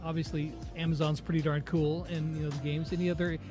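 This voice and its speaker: A woman's voice: low-pitched, level tone, fast, dark tone. A woman interviewer chatting casually and putting questions in an informal way. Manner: relays fan questions informally. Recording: music behind the talk.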